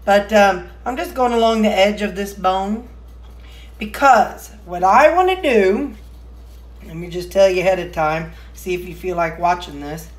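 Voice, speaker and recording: A woman with a mid-range voice talks at an unhurried pace in an echoey home kitchen. She chats casually as she cooks, rambling along in a folksy, homespun manner. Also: medium pitch. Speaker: home cook vlogger. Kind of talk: casual chat while demonstrating cooking. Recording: roomy, echoey kitchen sound.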